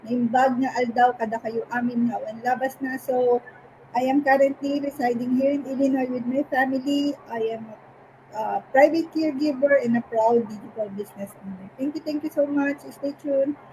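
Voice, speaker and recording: Filipino lady with a really high voice doing a live intro in taglish, slight noise. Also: fairly even intonation, a little fast, a little mellow, low-quality recording. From Philippines, Ilocos. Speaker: team member introducing herself. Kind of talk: live online introduction, mixing Tagalog and English. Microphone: online video call.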